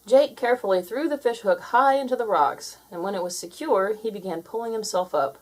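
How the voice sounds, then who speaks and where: A woman reading a children's story aloud as an expressive storyteller, in scripted narration. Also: mid-range voice, fairly quick.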